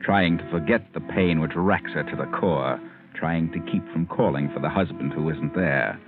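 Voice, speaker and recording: Very deep ominous radio mystery narrator. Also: male voice, normal speed. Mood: ominous suspense. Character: ominous, knowing male storyteller of a mystery tale. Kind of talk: 1940s radio suspense narration. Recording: muffled 1940s radio broadcast, music behind.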